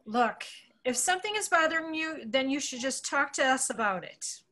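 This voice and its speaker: A woman reading a bartender who calms and scolds a friend, in a table read over a video call, soothing and bossy. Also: high voice, normal speed.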